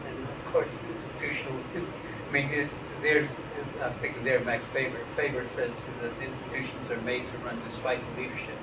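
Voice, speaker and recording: A man in his fifties being interviewed about writing, talking frankly and wryly with loose, casual language and frequent restarts. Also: mid-range voice. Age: 50s. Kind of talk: relaxed academic interview. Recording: echoey room, mic at a distance, noisy.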